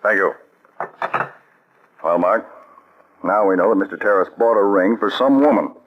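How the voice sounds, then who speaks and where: An actor playing a calm, methodical male counterspy chief who gives crisp orders, in 1940s radio acting. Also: on the low side, moderate pace, lightly acted. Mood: steady, commanding. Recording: muffled old radio recording.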